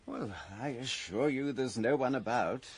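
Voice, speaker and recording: An actor playing a polite, fussy, reassuring gentleman in a radio drama. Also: medium pitch, unhurried, husky. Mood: puzzled, reassuring. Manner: genteel, polite, mildly fussy. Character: polite, fussy, reassuring gentleman passer-by, insomniac early walker.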